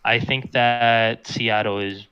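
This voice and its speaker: A young man speaking as a guest in a casual interview, talking in a flat, monotone way with many restarts. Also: mid-range voice, takes it slowly, clean tone, lo-fi sound. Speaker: guest, competitive fighting game player.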